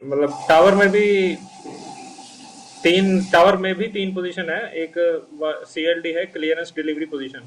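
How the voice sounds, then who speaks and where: A young man giving an informal, halting explanation as an interview guest, rephrasing step by step. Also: fairly high pitch, fairly even intonation, a little hiss or hum. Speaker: interview guest, junior air traffic controller. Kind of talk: informal explanation in Hindi mixed with English terms. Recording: voice distant from the microphone.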